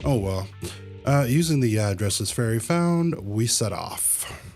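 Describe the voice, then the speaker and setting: A man with a fairly low voice talks through a game on a livestream. He is laid-back and colloquial, switching between reading dialogue aloud and muttering his own commentary. Music plays softly behind him. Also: lively intonation, takes it slowly. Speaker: game streamer.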